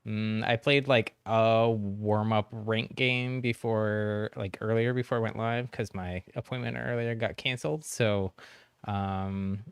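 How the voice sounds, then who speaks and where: Male game streamer, clear smooth voice at an ordinary pitch, friendly and chatty, close dry sound. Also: fairly even intonation, measured pace, no room sound. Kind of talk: casual livestream chat with viewers.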